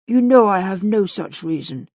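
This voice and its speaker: An earnest young gentleman from a storybook romance, acted out by a woman narrator, with formal, guarded phrasing and an emphatic delivery. The voice is raised in pitch and recorded close and dry. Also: animated, moderate pace, no echo. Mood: defensive.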